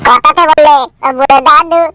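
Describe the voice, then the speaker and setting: A woman's voice: sits high, steady tone, moderate pace, bright, forceful tone. A woman voicing a cheeky, teasing young son in a comedy cartoon, mocking his father colloquially.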